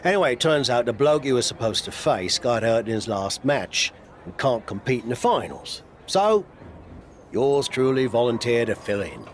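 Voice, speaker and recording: A man's voice: medium pitch, sing-song, moderate pace, slight background noise, natural voice. A man playing a jovial, matey veteran British wrestler and coach in a video game cutscene, chummy and breezily boastful. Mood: breezy, challenging.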